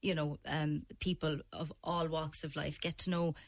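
A woman's voice: low-pitched, little pitch movement, normal speed, gravelly. An Irish woman giving her opinions as a panel guest in a chatty, colloquial, meandering way. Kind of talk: casual discussion, opinion.

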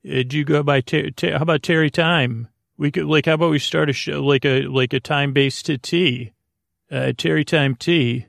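A lower-pitched to mid-range man with a creaky, gravelly voice, recorded close and dry. He hosts a sleep podcast with a halting, absent-minded bedtime ramble, stammering and trailing off as he wanders through whimsical thoughts. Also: fairly even intonation, a bit bright, no echo. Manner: halting, stammering, meandering speech with trailing-off sentences; whimsical, absent-minded musing to an imaginary listener. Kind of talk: rambling, improvised bedtime monologue.

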